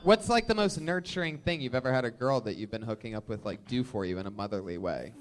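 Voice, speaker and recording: Man hosting, teasing and excitable. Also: medium pitch, fast talker. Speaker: podcast host. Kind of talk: comedy panel banter.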